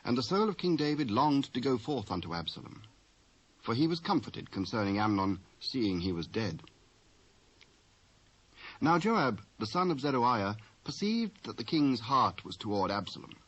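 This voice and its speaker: A man's voice: medium pitch, sing-song, moderate pace, a bit bright. A British man giving a scripted dramatic narration of the Bible in formal archaic English. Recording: dull old cassette transfer.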